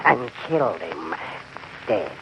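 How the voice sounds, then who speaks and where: Drawling old-west lawman, lively pitch. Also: slow pace, gravelly, full character voice. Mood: wry, menacing. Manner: rustic drawl with folksy grammar. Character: folksy frontier lawman, wry, commanding, drawling western storyteller. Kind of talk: old-time western radio drama acting. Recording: dull, faintly noisy old radio recording.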